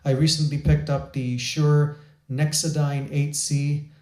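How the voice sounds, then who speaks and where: A man's voice: on the high side, flat delivery, measured pace, dark tone. Chatty man reviewing audio gear. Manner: enthusiastic, chatty, with small restarts. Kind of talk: product review talk. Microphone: shotgun microphone.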